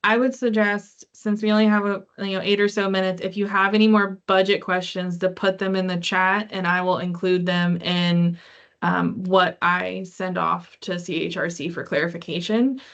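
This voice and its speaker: A woman's voice: lowish voice, little pitch movement, normal speed, fairly bright tone. A woman hosting a conference call, answering questions from participants. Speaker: meeting host. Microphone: online conference call. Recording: muffled conference call audio.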